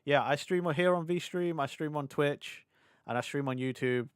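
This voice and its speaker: Chatty British man, slightly hoarse. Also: on the high side, no echo, clean studio sound. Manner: chatty, scattered, quick to laugh. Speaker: VTuber streamer. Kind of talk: rambling live-stream chat. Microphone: desk mic on a boom arm.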